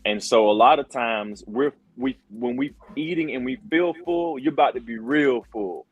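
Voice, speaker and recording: A man's voice: medium pitch, moderate pace, breathy edge, muffled recording. A man, talking in a mid-range voice. He tells stories loosely, repeating words and dropping fillers as a guest on a casual podcast. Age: 40s. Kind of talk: casual chat.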